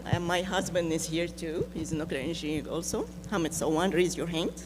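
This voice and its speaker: A woman's voice: fairly low pitch, brisk. An older woman from Egypt with an Egyptian accent, introducing herself informally as a panelist. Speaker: nuclear engineer, panelist. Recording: noisy room with clear hum.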